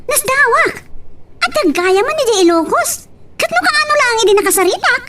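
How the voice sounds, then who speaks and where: Very high-pitched feisty young rich girl played by a woman. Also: big pitch swings, moderate pace, background noise. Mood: exasperated. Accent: Ilocano. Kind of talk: amateur audio drama acting.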